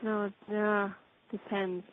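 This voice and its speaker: Woman, mid pitch, hesitant piecemeal phrasing, casual work talk, slightly muffled call audio. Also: flat delivery, slow pace. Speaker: colleague following a demo. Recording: dull call audio with faint noise.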